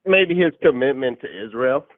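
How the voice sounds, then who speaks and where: A man's voice: medium pitch, expressive, moderate pace. A man in his twenties calling in, answering curtly and dismissively. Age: 20s. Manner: curt, dismissive. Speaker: caller. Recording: tinny phone-like call audio.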